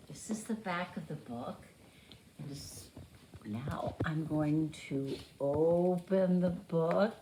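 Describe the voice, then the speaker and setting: Very slow, lively woman reading to children. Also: on the low side, a little hiss or hum, poor audio. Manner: simple explanatory asides and repeated words for young children. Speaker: teacher reading aloud. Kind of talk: children's picture book read-aloud.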